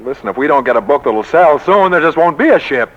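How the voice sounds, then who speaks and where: An actor as blustering publisher, old muffled radio. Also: male voice, a little high, animated, fast talker, over loud music, lightly acted. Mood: grumbling, worried. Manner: blustery, grumbling. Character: exasperated, blustering male head of a struggling publishing house. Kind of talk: 1940s radio comedy acting. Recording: dull, lo-fi 1940s radio recording.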